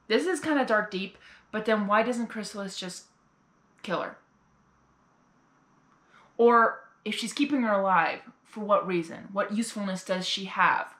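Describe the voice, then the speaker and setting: A woman's voice: mid-range voice, a bit bright, reverberant. A woman giving casual, exclamatory live reaction commentary with indignant asides. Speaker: reaction video host.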